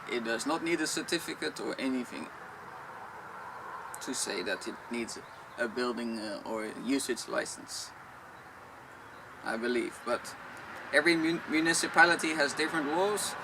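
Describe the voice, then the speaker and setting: A man with a Dutch accent talks through viewer questions in a plain, matter-of-fact way. His voice sits in a middle register. He records outdoors, and wind and passing traffic are clearly audible around him. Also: medium pitch. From Netherlands. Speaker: vlogger. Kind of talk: casual Q&A vlog. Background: wind and road traffic.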